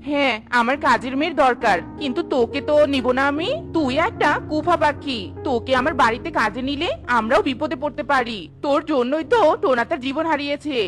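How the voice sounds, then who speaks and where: Woman doing a snobby rich bird lady, high fast scolding voice, faint music behind. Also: a bit bright. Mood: scornful, dismissive. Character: rich, haughty, superstitious female bird, scolding and harsh.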